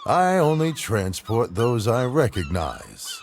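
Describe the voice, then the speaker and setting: Slow, suspicious ship's captain. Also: male voice, mid-range voice, big pitch swings, a little hiss or hum. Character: wary, gruff male ship's captain. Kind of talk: fantasy game cutscene acting.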